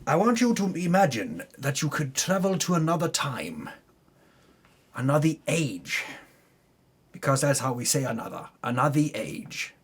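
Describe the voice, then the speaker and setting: A man's voice: on the high side, very animated, unhurried, breathy edge. A man giving a mock-solemn, theatrical dramatic reading as the storyteller of a tabletop campaign, pompous with comic self-correcting asides.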